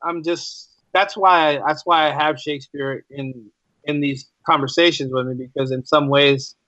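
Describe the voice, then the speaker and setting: A man with a slightly high voice talks casually as an interview guest. He often repeats and rephrases himself for emphasis. The sound is dry and a bit dull and lo-fi. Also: fairly even intonation, normal speed, pushed and bright, no echo. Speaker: podcast guest, writer.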